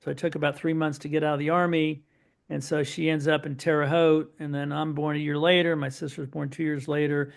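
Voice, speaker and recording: A middle-aged man, his voice sitting a touch high for a man. He tells long family anecdotes in a lively, rambling way, stacking one aside on another. His pitch rises and falls a lot as he talks. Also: brisk, creaky. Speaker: podcast guest, son of Holocaust survivors. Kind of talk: storytelling in an interview.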